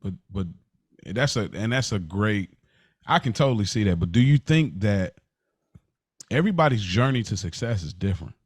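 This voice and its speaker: A man's voice: fairly low pitch, lively intonation, moderate pace, breathy edge, dry recording, clean studio sound. A man co-hosting a podcast, casually pressing his point with questions and repeated phrases in informal language. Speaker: podcast host.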